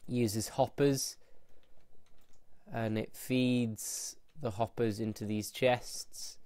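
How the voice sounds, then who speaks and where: A man's voice: mid-range voice, steady tone, extremely slow, darkish tone, dry recording. A man with a stuffy, bunged-up nose, rambling casually through a gameplay tour with frequent restarts. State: stuffy nose from hay fever. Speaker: gameplay video host.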